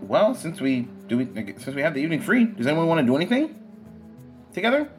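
Joking man with a very lively voice. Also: fairly high pitch, fast, faint music behind. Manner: joking, laughing. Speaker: player. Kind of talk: casual chat at a game table.

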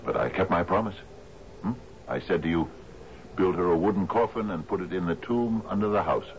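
An actor playing a troubled, grieving sea captain in a 1970s radio drama, speaking formally and haltingly. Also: male voice, low-pitched. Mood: defensive. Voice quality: gravelly. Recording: muffled, noisy old radio broadcast.

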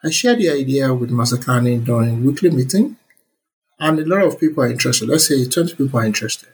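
Young guy in an interview, earnest and excited, lots of uhs, really husky voice. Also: mid-range voice, fast, low-quality recording. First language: Yoruba. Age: young adult. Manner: earnest and enthusiastic, many fillers. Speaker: interview guest, computer scientist. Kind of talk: relaxed conversational interview answers.

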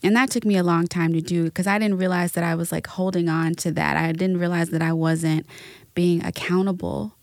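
A woman on a podcast talking reflectively about grief and offering gentle advice, with many fillers. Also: on the low side, fairly even intonation, brisk, no room sound, studio quality. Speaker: guest. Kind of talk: podcast conversation.

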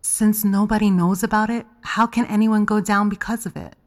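A woman playing a seductive, probing lover who coaxes softly in an audio drama. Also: mid-range voice, fairly even intonation, moderate pace, natural voice. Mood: concerned, questioning. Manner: soft, coaxing. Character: Delilah, seductive, probing, manipulative adult female lover. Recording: music under the voice.

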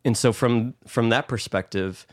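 A man's voice: medium pitch, moderate pace, dry recording, clean studio sound. An American man co-hosting a podcast discussion, explaining his views conversationally as he thinks aloud. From United States. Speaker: podcast co-host.

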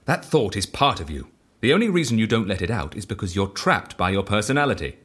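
A man playing an eccentric, self-assured devotee of chance in an audio drama, asking clipped, probing questions and speaking with calm conviction. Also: fairly low pitch, fast talker, husky, full character voice. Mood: coaxing.

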